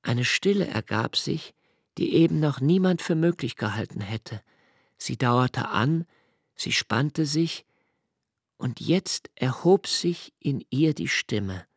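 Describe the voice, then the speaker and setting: An actor playing a reflective young male diarist, narrating his memories in a literary radio drama with measured, deliberate delivery. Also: mid-range voice, lively intonation, creaky, breathy edge, no echo, studio quality, exaggerated voice. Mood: tense, expectant.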